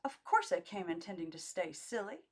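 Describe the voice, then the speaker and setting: A woman's voice: on the low side, animated, moderate pace. A woman voicing a brash, plucky young mother in an audiobook, with emphatic, colloquial delivery. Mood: spirited. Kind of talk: audiobook character dialogue.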